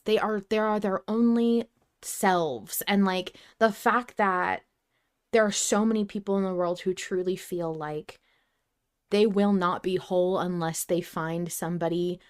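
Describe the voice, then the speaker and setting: A woman's voice: medium pitch, clean tone. A woman around her thirties co-hosting a casual fan discussion podcast, speaking earnestly and emphatically in bursts. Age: 30s. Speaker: podcast co-host.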